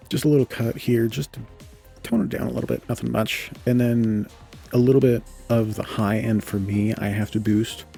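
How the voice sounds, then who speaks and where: A young man with a low voice giving a relaxed, casual tutorial on audio mixing, thinking aloud and correcting himself as he goes. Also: vocal fry. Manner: relaxed, thinks aloud with self-corrections and filler "you know". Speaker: podcaster explaining audio mixing. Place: home room with a fan running. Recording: home studio mic with quiet fan noise and faint music.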